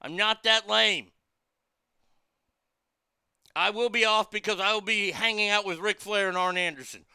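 Brash, bright-voiced talk radio host. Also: male voice, high-pitched, fairly even intonation, a little slow, long pauses, smooth, no room sound, clean studio sound. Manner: loose, irreverent, crude, reads listener messages aloud. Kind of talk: casual talk radio banter.

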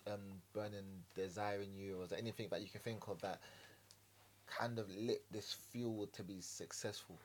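A man hosting a podcast, asking relaxed, friendly questions with casual slang. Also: fairly low pitch, normal speed, slight background noise. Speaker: podcast host.

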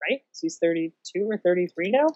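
A woman's voice: on the low side, animated, fairly quick, dark tone. A woman co-hosting a sports podcast, chatting casually with wry, flippant asides. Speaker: podcast co-host. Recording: dull, roomy, lo-fi recording.

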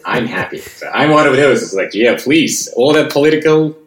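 A man's voice: medium pitch, lively intonation, moderate pace, roomy sound, a little hiss or hum. A man with a Russian accent, speaking English as a second language, chatting casually as a guest actor in an interview, upbeat and sometimes searching for words. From West Siberia, Russia.

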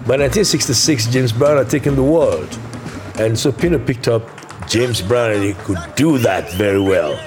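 An older drummer and musical director recalling his band years in an interview, in a steady, matter-of-fact way. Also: medium pitch, normal speed. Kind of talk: interview reminiscence. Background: music playing underneath.

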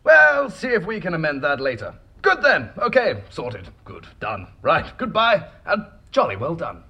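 Chirpy, officious company bureaucrat, swooping pitch. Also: male voice, huge pitch swings, gravelly, sharp tone, breathy edge, lo-fi sound, full character voice. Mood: chirpy, gushing. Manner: jargon-laden, brisk, gushing. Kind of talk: comedy audio drama acting.

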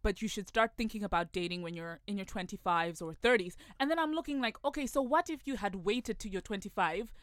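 A young woman with a medium-pitched voice speaks casually in a group chat. She is candid and slangy and swears freely. The recording is dry and close. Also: brisk, hardly any pauses, no room sound.

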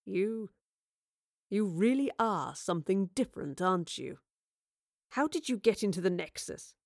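A woman's voice: medium pitch, expressive, measured pace, vocal fry, dry recording, clean studio sound. A woman voicing a malicious, impatient man in an audio drama, with a sarcastic, condescending drawl. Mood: smug, sinister. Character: malicious, impatient, deep-voiced man, smug villain. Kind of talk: audio drama villain acting.

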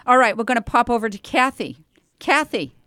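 A woman's voice: fairly high pitch, lively intonation, brisk, fairly bright tone, dry recording, clean studio sound. A woman hosting a chatty local radio show, talking informally in an effusive, exclaiming, encouraging way. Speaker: radio talk show host. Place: radio studio.

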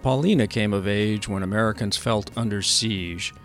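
An older man, the host of a podcast, narrating in a measured, earnest scripted way. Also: lowish voice, soft background music.

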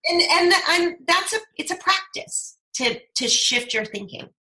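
A woman's voice: very high voice, animated, a little slow, bright, forceful tone, poor audio. A woman in her fifties, a speaker and brand coach, talking in an emphatic, persuasive way as an interview guest. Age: 50s. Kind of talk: podcast interview conversation.

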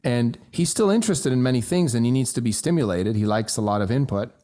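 A man who grew up in Switzerland and speaks German as his first language, talking in a casual conversation with emphatic rises in pitch on key points. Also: lively intonation, quick pace, mellow.

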